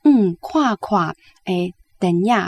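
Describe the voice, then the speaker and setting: 台灣口音、音高適中的女性評論者. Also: female voice, medium pitch, animated, slow pace, darkish tone. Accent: Taiwanese. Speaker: literary commentator. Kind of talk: conversational book commentary.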